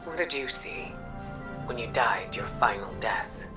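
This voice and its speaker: A composed, earnest woman scholar with authority in a game cutscene. Her voice is extremely low and her delivery formal and measured. She sounds a bit off the mic, over prominent music. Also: animated, recorded from a distance. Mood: solemn, probing. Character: grave, commanding, composed female mystic scholar. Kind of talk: video game cutscene acting.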